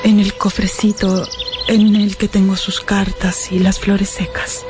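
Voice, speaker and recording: An actress playing a dying young fiancée in a radio drama, resigned and devoted, with weak, breathy, halting delivery. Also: female voice, mid-range voice, flat delivery, natural voice. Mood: tender, sorrowful. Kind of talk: radio novel acting. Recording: music under the voice. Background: music.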